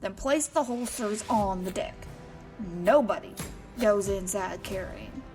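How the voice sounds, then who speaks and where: An actress playing a tough, suspicious guard, brusque and commanding, in a sci-fi military audio drama. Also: female voice, mid-range voice, measured pace, over music, lightly acted. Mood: stern.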